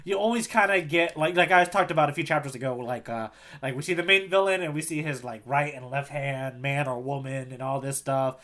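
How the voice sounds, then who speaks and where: A man's voice: medium pitch, a little fast, sharp tone. A man co-hosting a comedy podcast, bantering casually with joking, mock-serious teasing. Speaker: podcast co-host.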